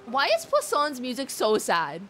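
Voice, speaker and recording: Animated young woman streaming a game. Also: high-pitched, normal speed. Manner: playful, chatty, emotive, repeats words. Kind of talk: casual live gaming commentary. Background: game music.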